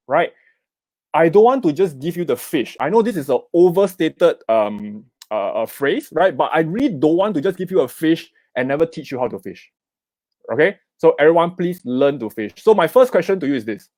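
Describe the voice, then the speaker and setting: A man's voice: fairly high pitch, darkish tone, no room sound. A middle-aged man with a Singaporean accent, giving an investment talk at an online summit. He keeps asking "okay?" and "right?" and urges viewers to type in the comments. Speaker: investment speaker. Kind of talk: live online seminar talk.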